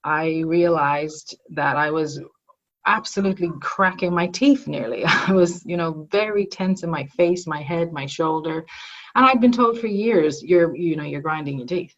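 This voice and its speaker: A woman's voice: low voice, lo-fi sound. A woman, a guest psychologist and mindfulness teacher, explaining her work in a reflective, conversational way. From Ireland. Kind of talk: conversational podcast interview.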